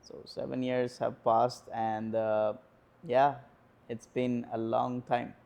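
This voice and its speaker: A man in his twenties with an Indian accent, a guest answering questions in a casual interview, hesitant with many pauses and fillers. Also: mid-range voice, little pitch movement, gravelly, a little mellow. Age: 20s.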